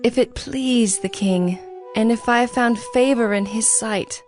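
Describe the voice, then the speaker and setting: A woman's voice: fairly high pitch, a little slow, a little mellow, natural voice. An actress playing an earnest, humble young queen who makes formal, imploring appeals in a scripture drama. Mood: humble pleading. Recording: music behind the voice.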